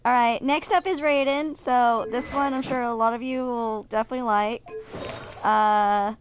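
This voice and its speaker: A woman's voice: fairly high pitch, fairly even intonation, normal speed, soft background music, low-quality recording. A woman in her twenties hosting a casual gaming video, chatting and reading game lore aloud, sometimes stumbling and correcting herself. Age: 20s.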